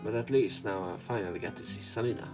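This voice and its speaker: A man's voice: on the low side, fast, music in the background. A man hosting a let's play, reading a visual novel's narration and dialogue aloud.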